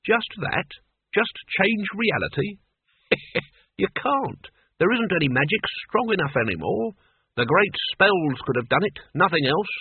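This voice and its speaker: Man putting on a wary, husky old wizard. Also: medium pitch, animated, a little slow. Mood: mocking. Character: wary old male wizard, cackling, sniffing, defeated miser. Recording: muffled, echoey, phone-like radio sound.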